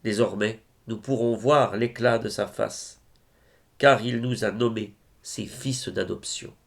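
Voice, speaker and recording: A man reciting devotional poetry in a solemn style, with measured, reverent phrasing. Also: on the low side, fairly even intonation, gravelly. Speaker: reader of religious poetry.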